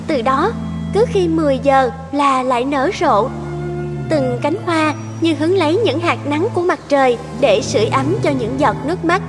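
A woman tells a fairy tale as a scripted narrator. Her voice is high, and music plays clearly behind her.

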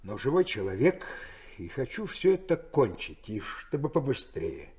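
An actor playing a weary, touchy ship's officer in a radio drama, speaking bluntly and irritably. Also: male voice, medium pitch, big pitch swings, moderate pace, darkish tone, natural voice. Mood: impatient.

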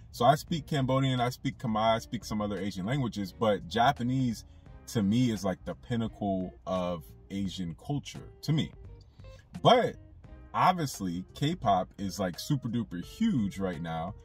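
A man's voice: fairly low pitch, husky, recorded from a distance. A young man talking casually to camera from inside a car, rambling with lots of fillers. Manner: loose, rambling, with many fillers. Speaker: YouTube commentator. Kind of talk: casual commentary vlog.

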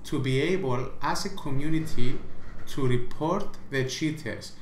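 A man's voice: on the high side, echoey room, a little hiss or hum. A man who does not speak English natively, giving an emphatic opinion rant as a small gaming vlogger, repeating himself and stumbling through restarts.

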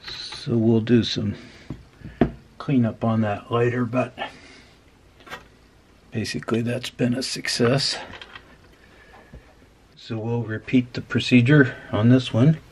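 A man casually talking through a hands-on repair as host, unhurried and dryly humorous. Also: mid-range voice, steady tone, darkish tone. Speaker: host of an electronics repair video. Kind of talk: casual hands-on demonstration commentary. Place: indoor workbench. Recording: clear background noise.

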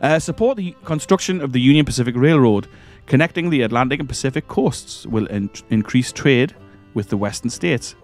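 Croaky man with a cold, rambling gameplay talk. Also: mid-range voice, lively intonation, brisk, few pauses, a little mellow, soft background music. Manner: rambling, hesitant, self-correcting. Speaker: gaming channel host. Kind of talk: casual let's-play commentary.

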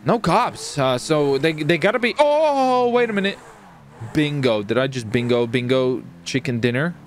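A man giving casual, excited commentary on his gameplay, chatty and often repeating his phrases. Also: a little high, moderate pace, a little hiss or hum. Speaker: gaming video host and player.

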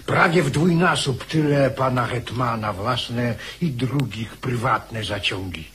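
A man playing a soldier in a radio drama, recalling campaign life in a measured storytelling way. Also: mid-range voice, a bit bright. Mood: reminiscent.

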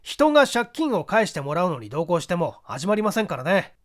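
Forthright businessman witness in an audiobook, played by a male reader: high voice, animated, conversational. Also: fairly quick. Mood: indignant. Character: male business associate giving forthright, irritated testimony to investigators.

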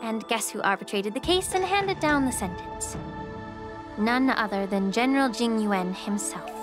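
Brief: actress as a poised, gracious young alchemist, gentle delivery, game score under. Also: female voice, fairly high pitch, lively intonation, moderate pace, natural voice. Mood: reflective. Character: poised young woman alchemist, graceful and composed. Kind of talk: game voice acting. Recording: game audio with clear background music.

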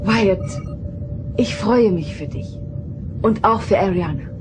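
An actress playing a gentle, composed young starship officer in a radio play. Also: female voice, mid-range voice, takes it slowly, over music, lightly acted.

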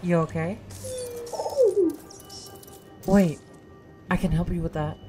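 A woman playing an earnest, gentle young Jedi on the run in a video game, speaking in a hesitant, reassuring way. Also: lowish voice, steady tone, slow pace, soft background music. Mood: gentle, encouraging. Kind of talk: cinematic video game dialogue.

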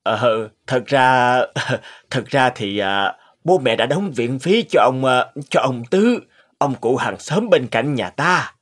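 A man playing a good-hearted, worried elderly grandfather in a cartoon, speaking earnestly and haltingly. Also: mid-range voice, expressive, fairly bright tone. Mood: sheepish, hesitant. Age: older. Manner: halting, earnest, swooping intonation. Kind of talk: cartoon dialogue acting.

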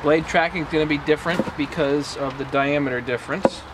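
Brief: male DIY vlogger, slightly high voice, muttering through a hands-on job outdoors, heavy background noise. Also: steady tone, a little fast. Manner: chatty, mutters step by step. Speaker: DIY sawmill owner. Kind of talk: casual how-to narration while working. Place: outdoors at a sawmill.

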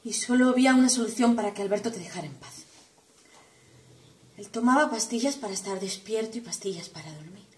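A woman's voice: medium pitch, expressive, a little fast, natural voice. A young woman acting on stage as a resentful, wounded adult daughter, intense and accusatory. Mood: tense, confiding. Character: wounded, resentful adult daughter pouring out bitterness to her mother. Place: small dim theatre set indoors. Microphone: far camera. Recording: roomy echo, distant camera microphone.

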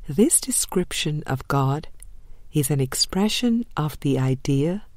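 A woman reading a spiritual book as an audiobook narrator, measured and deliberate, with frequent pauses. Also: sits low, lively intonation, darkish tone, dry recording. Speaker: audiobook reader.

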